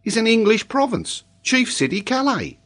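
Very high-voiced, earnest schoolboy. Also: male voice, huge pitch swings, normal speed, a bit bright, full character voice. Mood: calm certainty. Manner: matter-of-fact, reciting in lists. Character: earnest, unshakably confident native schoolboy reciting his lessons.

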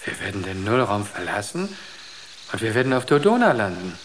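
Entranced artist with swooping, lively intonation. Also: male voice, lowish voice, noisy background. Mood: serene conviction. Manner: rapt, measured, solemn. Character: entranced male artist, serenely devoted to an inner voice. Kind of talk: German radio drama acting.

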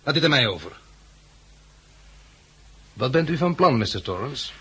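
A man's voice: mid-range voice, very animated, moderate pace, pushed and bright, slightly rough, full character voice. A man acting in a 1960s Dutch radio drama as a firm, protective settlement leader, guarded and challenging, with a Netherlands accent. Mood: firm, defiant.

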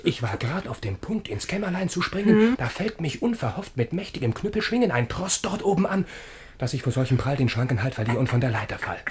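An actor playing an ardent, naive young gentleman in love, telling his tale exuberantly in rhymed verse for a radio play. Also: medium pitch, very animated, a little fast, breathy edge. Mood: excited, amused. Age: young adult.

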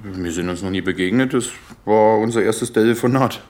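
An actor playing a calm, dryly polite but firm police inspector with a southern German accent in a radio drama. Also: male voice, on the low side, moderate pace, natural voice. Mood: relaxed, polite. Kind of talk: naturalistic radio drama acting.

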